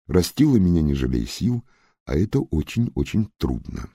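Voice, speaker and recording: A man voicing a young Russian-American who frankly recounts his hard family past in an unhurried audiobook narration with polite asides. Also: deep voice, very animated, warm tone, breathy edge, no echo, studio quality, exaggerated voice. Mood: matter-of-fact, sombre.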